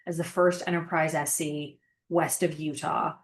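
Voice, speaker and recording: A woman's voice: low-pitched, flat delivery, normal speed, a little hiss or hum. Woman, thirties, interview guest, chatty, reflective, many fillers. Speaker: podcast guest, sales engineering manager. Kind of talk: casual interview conversation.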